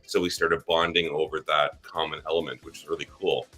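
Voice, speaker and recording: A man's voice: very deep voice, level tone, a little fast, bright, forceful tone, slightly rough, faint music behind. A man who grew up in Germany, hosting a live stream as a realtor, chatting in a rambling way with many fillers. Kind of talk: casual livestream chat.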